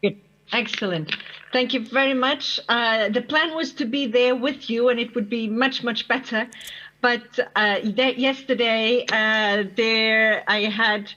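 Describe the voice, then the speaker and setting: A woman joins the panel over a remote link and introduces herself hesitantly, with many fillers. Her voice is bright and fairly high, and the audio comes through dull and lo-fi. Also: fairly even intonation, measured pace. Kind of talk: spoken self-introduction.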